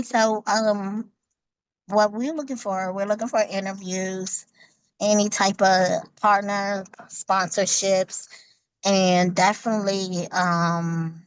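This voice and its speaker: A woman's voice: lowish voice, dry recording, rough recording. Earnest woman on a dry video call. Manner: earnest, faith-driven, repeats herself for emphasis. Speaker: ministry outreach worker, author. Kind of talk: networking pitch.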